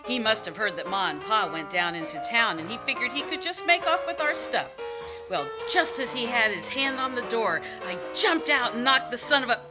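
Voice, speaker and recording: A woman playing a brash, boastful small-town Western girl in an amateur radio play, excitable and bossy. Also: a little high, normal speed, close to an everyday voice. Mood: excited, boastful. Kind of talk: amateur old-time radio play acting. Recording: music playing behind the voice.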